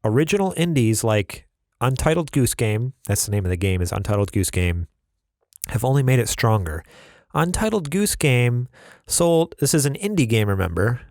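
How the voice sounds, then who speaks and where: A man's voice: mid-range voice, big pitch swings, normal speed, darkish tone, no room sound, studio quality. A man co-hosting a gaming podcast, chatting casually and reading news stories aloud with his own asides. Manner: laid-back, reads stories aloud with asides. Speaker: podcast co-host.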